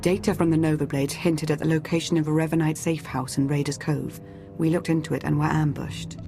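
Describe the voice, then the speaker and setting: A composed woman intelligence officer speaks in a low voice, measured and formal. She sounds firm and controlled, a strategist in a game cutscene, with music clearly behind her. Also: flat delivery. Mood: grave, composed. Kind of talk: video game cutscene acting.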